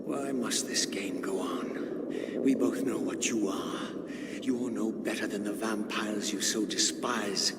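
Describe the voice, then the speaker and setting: A man's voice: lowish voice, fairly even intonation, slow pace, long reverb tail, very noisy. An actor playing a defiant, bitter male undead wraith in a video game, speaking with dramatic, eloquent scorn.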